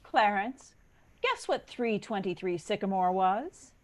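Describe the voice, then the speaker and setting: Storytelling narrator played by a woman, 1940s radio drama style. Lively, varied intonation, mid-range voice. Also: mid-range voice, slow pace. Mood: narrating.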